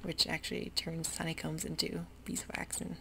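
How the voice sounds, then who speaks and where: Soft close whisper with a gravelly edge. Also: mid-range voice, completely flat delivery, normal speed. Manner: soft mostly unvoiced whisper; hesitant, meandering, with many fillers. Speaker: gameplay ASMR host. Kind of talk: whispered ASMR game tour. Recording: audible hiss with keyboard clicks.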